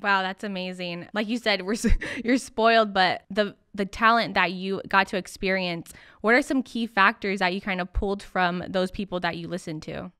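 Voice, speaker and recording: A woman's voice: mid-range voice, fairly even intonation, moderate pace, hardly any pauses, bright, forceful tone, smooth, no room sound, clean studio sound. A young woman hosting a podcast interview in an upbeat, enthusiastic manner. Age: young adult. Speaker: podcast host.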